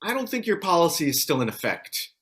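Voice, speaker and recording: A man's voice: fairly high pitch, very animated, fairly quick, a bit bright, husky, heavily acted. A man reading the part of a cautious, practical survivor in a drama script table read. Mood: wary.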